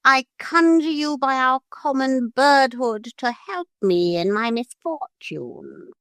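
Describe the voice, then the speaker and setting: A woman voicing a talking parrot who speaks earnestly, calmly and formally. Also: on the high side, slow pace, no echo, voice pushed up, full character voice. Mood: earnest pleading. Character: earnest, stately talking parrot, polite but commanding.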